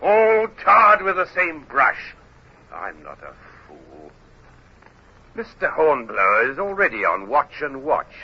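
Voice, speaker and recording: A tyrannical, paranoid navy captain in an old radio play. His husky voice creeps along slowly, then swoops wildly from hushed menace to barked command. The recording is dull and dated. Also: fairly high pitch, huge pitch swings, exaggerated voice. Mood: cruel, commanding. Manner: menacing, swings from hushed insinuation to barking. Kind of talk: old-time radio drama acting.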